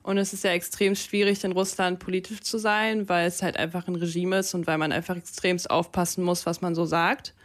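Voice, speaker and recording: A woman's voice: fairly low pitch, little pitch movement, brisk, few pauses. Young woman, DJ guest, Russian first language, casual chatter, fillers, slang, studio mic. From Germany. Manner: chatty, many fillers and English slang words. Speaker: DJ, interview guest. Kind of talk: casual radio interview. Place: campus radio studio.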